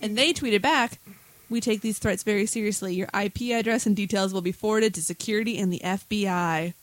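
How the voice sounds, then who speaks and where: A woman's voice: mid-range voice, fairly quick, no room sound. A woman co-hosting a talk show, going through news stories in an informal, wry, chatty way. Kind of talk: casual chat about news stories.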